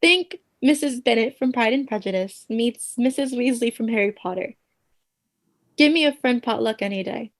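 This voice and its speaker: Woman playing a sassy young best friend, kinda high voice, wry and slangy, radio play chat. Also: animated, moderate pace. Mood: sassy, affectionate. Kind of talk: conversational radio play acting.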